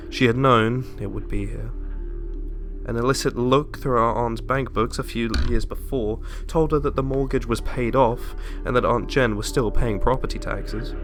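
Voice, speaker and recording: A man giving a dramatic, deliberate audiobook narration of a suspenseful horror story. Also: medium pitch, a bit bright. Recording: music under the voice.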